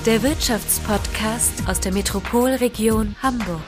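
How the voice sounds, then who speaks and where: A woman's voice: on the high side, unhurried. A woman announcing the podcast in a short show opener. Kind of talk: jingle announcement. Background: loud music.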